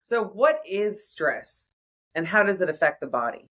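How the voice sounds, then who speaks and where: A woman's voice: on the low side, big pitch swings, unhurried, a bit bright. A woman hosting a podcast, reading a scripted guest intro and asking questions. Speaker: podcast host. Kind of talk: podcast interview.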